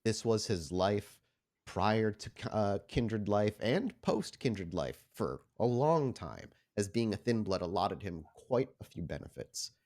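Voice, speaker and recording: A man's voice: on the low side, expressive, slow, darkish tone. A man narrating a tabletop roleplaying game as game master in a conversational, descriptive way.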